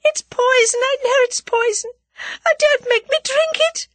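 A woman's voice: very high voice, measured pace, a bit bright, dry recording, voice pushed up. A woman voicing a spirited, cunning, plucky young woman with a theatrical delivery in an audiobook story. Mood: feigned terror. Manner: theatrical, dramatic.

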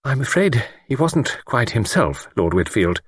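A man voicing a prim, gentle, genteel older lady in an audiobook, speaking politely and properly. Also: medium pitch, sing-song, moderate pace, husky, no room sound, studio quality, pitched above the performer's own voice.

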